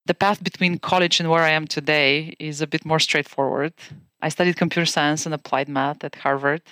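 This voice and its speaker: A woman in her forties from Bulgaria with a Bulgarian accent, a guest calmly recounting her career in a podcast interview. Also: low voice, brisk, no room sound.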